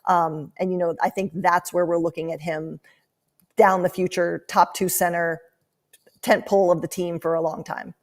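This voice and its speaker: Woman, somewhat low-pitched, sports analyst guest, conversational breakdown style. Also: level tone, normal speed.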